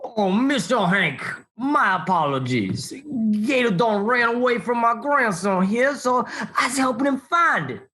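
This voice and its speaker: A man's voice: very high-pitched, very animated, measured pace, sharp tone, exaggerated voice. Man playing a swooping, folksy old Southern grandpa. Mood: apologetic. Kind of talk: audio drama acting.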